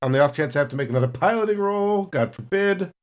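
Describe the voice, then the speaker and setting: A man's voice: sits high, lively intonation, fast talker. A man playing a tabletop role-playing game, narrating his character's actions with wry understatement.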